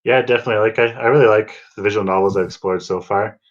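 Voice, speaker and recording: A man's voice: lowish voice, fairly even intonation, fast. A man co-hosting a podcast in casual conversation about video games, heard through a lo-fi microphone. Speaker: podcast co-host. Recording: dull, lo-fi microphone sound.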